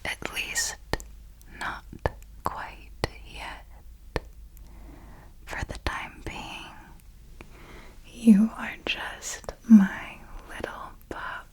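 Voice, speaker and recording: A woman voicing a seductive, possessive vampire mentor in an ASMR roleplay, speaking in a soft breathy whisper with a deliberate, formal, teasing manner. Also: fairly high pitch, little pitch movement. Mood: commanding, protective.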